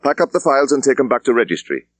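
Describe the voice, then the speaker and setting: A man's voice: mid-range voice, sing-song, very fast, dry recording, pitched above the performer's own voice. A man voicing a firm, businesslike British police superintendent with clipped speech in an audiobook. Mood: firm, brisk.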